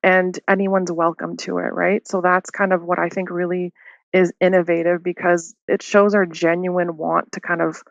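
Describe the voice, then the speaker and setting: Upbeat woman clinician, lowish voice, laughs a lot, lo-fi dry podcast audio. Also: level tone, moderate pace, gravelly, dry recording. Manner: upbeat, laughs often, uses "like" and "right?". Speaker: hearing clinician guest. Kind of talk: casual podcast interview. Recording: dull lo-fi dry sound.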